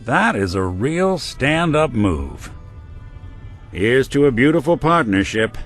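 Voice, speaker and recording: A man's voice: medium pitch, very animated, slow pace, fairly bright tone, faint music behind. An actor playing a weary male synth private detective with a dry, laid-back wit, in video game dialogue. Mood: wry.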